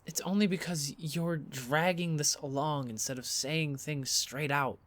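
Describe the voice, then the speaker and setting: A man playing a blunt, bewildered and wary young man in an audio drama, with halting, incredulous delivery. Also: fairly high pitch, fairly even intonation, fairly bright tone, no room sound. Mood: defensive. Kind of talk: audio fiction podcast acting.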